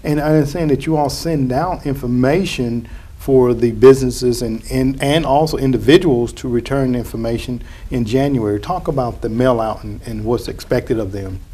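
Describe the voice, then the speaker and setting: Male host of a city cable interview show; mellow, relaxed, conversational. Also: mid-range voice, normal speed. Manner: friendly, conversational, lots of fillers. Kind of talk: local TV interview.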